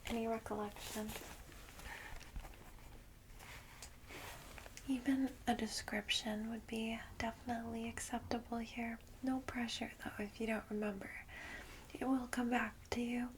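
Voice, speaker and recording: A woman voicing a friendly, reassuring vampire guide in a soft, breathy ASMR whisper. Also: a little high, level tone, normal speed, slight background noise, lightly acted, poor audio. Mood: gently official. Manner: gentle, reassuring, hesitant asides. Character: friendly female vampire guide, centuries-old, caring and reassuring. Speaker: ASMR roleplayer.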